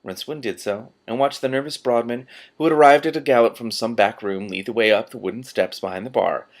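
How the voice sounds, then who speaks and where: A man's voice: mid-range voice, fairly quick. A man reading a fantasy novel aloud expressively, breaking off for casual reactions.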